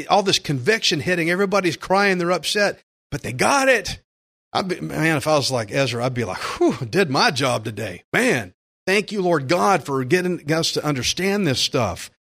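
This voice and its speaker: A man's voice: a little high, a bit bright. A man preaching a conversational Bible-teaching sermon as a pastor, plainspoken and emphatic, repeating phrases for stress.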